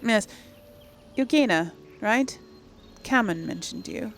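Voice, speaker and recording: A woman's voice: mid-range voice, animated, slow pace, a bit bright. A woman hosting a casual game role-play, musing aloud and playfully voicing her own dialogue choices. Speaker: gaming video host. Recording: faint background noise.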